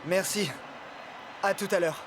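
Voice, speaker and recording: A man's voice: high voice, slow pace, noisy background. A man playing an earnest, curious young football player in a cartoon, eager and wondering in manner. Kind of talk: dubbed cartoon dialogue. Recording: music behind the voice.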